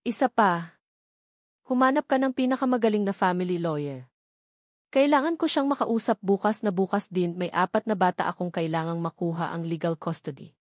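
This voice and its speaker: A woman's voice: fairly low pitch, normal speed, dry recording, studio quality, close to an everyday voice. A woman voicing a determined, wealthy businessman who gives firm, authoritative orders in clipped phrases for a dramatic story. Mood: firm, urgent. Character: commanding, determined wealthy businessman in his prime. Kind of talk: dramatic audiobook character voice.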